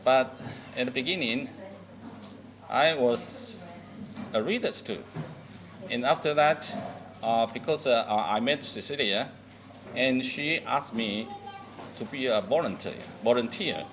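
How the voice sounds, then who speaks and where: A man who is not a native English speaker, giving an unscripted volunteer testimonial in halting, non-native phrasing. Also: medium pitch, background noise.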